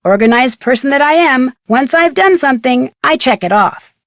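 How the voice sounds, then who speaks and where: A woman's voice: high voice, animated, moderate pace, no room sound, clean studio sound. An actress playing an upbeat, organized young girl detective, narrating scripted tutorial instructions for a mystery game. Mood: helpful, instructive.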